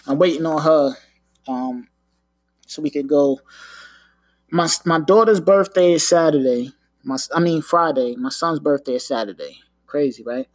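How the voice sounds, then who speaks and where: A man's voice: a little high, normal speed, no echo. A man in his thirties from Long Island with a New York accent, hosting a casual solo podcast from home and rambling with lots of filler. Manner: laid-back, rambling, lots of filler and "you know what I'm saying". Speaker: podcast host. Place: home room, downstairs.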